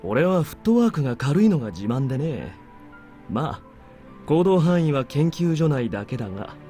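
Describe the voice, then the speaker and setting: An actor voicing an easygoing military superior in a visual novel, casual and teasing in manner. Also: male voice, on the high side, big pitch swings, fast talker, dark tone. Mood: breezy. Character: easygoing, joking male military superior officer. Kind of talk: Japanese visual novel voice acting. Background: game music.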